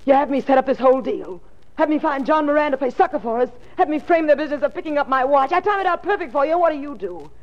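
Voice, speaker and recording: A woman playing a bitter, jealous female swindler in a 1940s radio drama, with a sharp, accusing, melodramatic delivery. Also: high-pitched, lively intonation, quick pace. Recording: muffled lo-fi 1940s radio recording.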